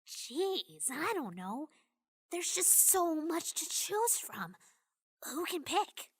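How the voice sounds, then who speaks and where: A woman's voice: sits high, big pitch swings, slow pace, heavily acted. A woman voicing a shy, anxious young pegasus filly in a fan fiction audio drama. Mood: shy, flustered.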